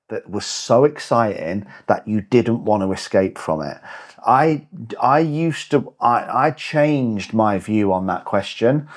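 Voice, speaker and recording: A man speaks in a mid-range voice, neither high nor low. He delivers a motivational podcast monologue in a blunt, emphatic way and swears now and then for punch. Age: 40s. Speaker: fitness and lifestyle coach, podcast host.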